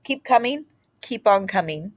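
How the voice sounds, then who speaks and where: Woman doing a picture book read-aloud, expressive, takes her time w/ little dramatic pauses, medium pitch. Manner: unhurried, short deliberate phrases with dramatic pauses.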